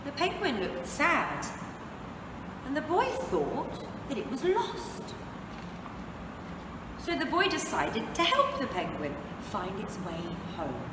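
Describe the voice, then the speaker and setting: A woman reading a children's storybook aloud expressively, with dramatic phrasing and deliberate pauses for young listeners. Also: fairly high pitch, wildly swooping pitch. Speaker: English teacher reading a picture book aloud. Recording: echoey, reverberant room, distant mic, noisy background.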